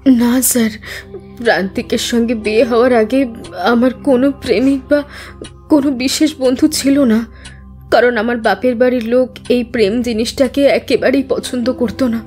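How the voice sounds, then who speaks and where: An actress playing a curt, grieving young widow who gives guarded, choked-up answers under questioning in an audio drama. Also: female voice, a little high, steady tone, normal speed, darkish tone, soft background music, natural voice. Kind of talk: scripted audio drama acting.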